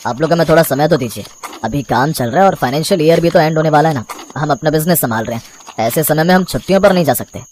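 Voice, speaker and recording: An exasperated young husband from a cartoon moral tale, acted by a man. He grumbles and pleads emphatically, and his words come at a fast clip. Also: fairly high pitch, darkish tone, slight background noise, lightly acted. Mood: pleading, grumbling. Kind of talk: animated moral story dialogue.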